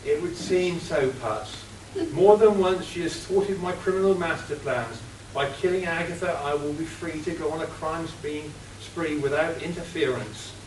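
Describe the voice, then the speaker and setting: A man playing a scheming female criminal mastermind in an amateur radio play, cold and deliberate. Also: a little high, darkish tone, close to an everyday voice. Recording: roomy, distant, faint hiss.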